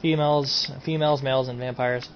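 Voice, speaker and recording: A man's voice: mid-range voice, fairly even intonation, brisk. Rambling lo-fi gaming streamer guy. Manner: rambling, repeats himself, trails off with "and everything". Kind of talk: casual mod showcase. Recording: dull lo-fi home recording, faint noise.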